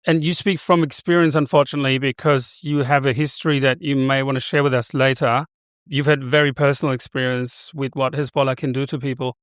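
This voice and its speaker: A man who hosts a podcast, presenting a scripted introduction and then interviewing a guest in a clear, articulate way. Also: mid-range voice, steady tone, brisk, a bit bright, dry recording, clean studio sound. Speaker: podcast host.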